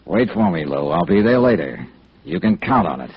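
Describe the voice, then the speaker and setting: An actor playing a tough, world-weary insurance investigator in 1950s radio noir style, confident and clipped in speech and narration. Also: male voice, extremely low pitch, animated, normal speed, creaky, exaggerated voice. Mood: low, flirtatious. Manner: hard-boiled, confident, clipped. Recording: dull, noisy old radio recording.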